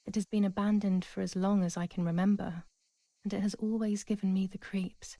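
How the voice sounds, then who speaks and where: An actress playing a young woman in wartime, pensive and uneasy, quietly thinking aloud in a first-person game monologue. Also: mid-range voice, flat delivery, brisk, dry recording, natural voice.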